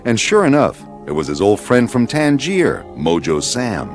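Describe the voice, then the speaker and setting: A man's voice: medium pitch, huge pitch swings, unhurried. A man acting as the storytelling narrator of an exotic adventure radio serial, with scripted delivery. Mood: intriguing. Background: music.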